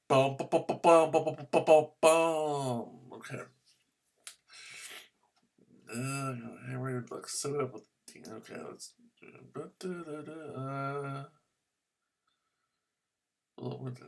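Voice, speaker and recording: A man from the United States vlogging about ham radio, muttering to himself as he searches on his computer, with bursts of excited cheering. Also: mid-range voice, slow, vocal fry, long pauses. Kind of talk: casual screen-recorded commentary.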